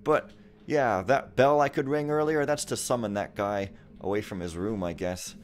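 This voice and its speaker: Young male let's player, wry and rambling, lowish voice, faint background hum. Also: fairly even intonation, moderate pace. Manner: rambling, wry, sometimes reads game text aloud dramatically. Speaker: let's play commentator. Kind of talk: casual gameplay commentary.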